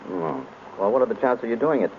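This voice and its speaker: Actor playing a polite concerned man, animated delivery, 1930s radio drama, faintly noisy recording. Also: mid-range voice, fast talker, phone-quality sound, natural voice. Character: earnest, worried gentleman seeking a detective's help.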